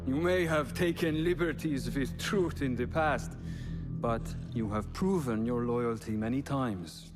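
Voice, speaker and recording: A man playing a slow-talking, smug villain general. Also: mid-range voice, over music, lightly acted. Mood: smooth persuasion. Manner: teasing, self-satisfied. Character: smug, boastful male villain general, amused and cruel. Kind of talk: video game cutscene acting.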